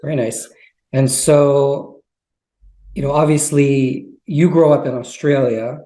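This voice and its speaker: Mellow-voiced man in an echoey room. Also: medium pitch, steady tone, takes it slowly. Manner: curious, lots of "oh, okay" replies. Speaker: interviewer host. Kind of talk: casual interview.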